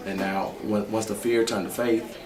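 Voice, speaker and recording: A man's voice: fairly low pitch, flat delivery, normal speed, a crowd in the background. A man in his twenties giving long, earnest interview answers. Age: 20s. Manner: earnest, explaining at length. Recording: roomy echo from a distance with faint noise.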